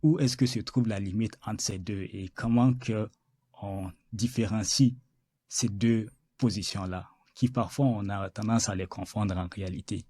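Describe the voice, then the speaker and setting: Mellow-voiced hesitant male host. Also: on the low side, moderate pace, husky, dry recording. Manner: hesitant, many filler sounds and restarts. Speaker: podcast host. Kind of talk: interview.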